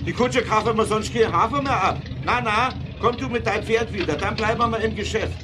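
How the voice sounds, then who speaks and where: Man playing a high-pitched, blunt, stubborn merchant. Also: fairly quick, background noise, natural voice. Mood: dismissive. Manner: blunt, colloquial. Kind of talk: audio drama acting.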